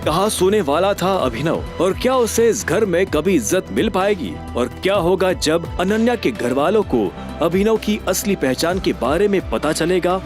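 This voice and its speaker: A male narrator tells a scripted drama story in a dramatic style. His fairly high voice swings widely in pitch, with music clearly playing underneath. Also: expressive, normal speed, few pauses.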